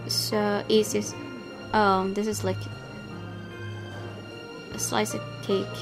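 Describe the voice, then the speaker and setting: A woman narrates a visual novel for her viewers. Her voice is medium in pitch and expressive, moving between storytelling and casual commentary. Game music plays clearly underneath. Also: slow pace, poor audio. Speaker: let's play streamer.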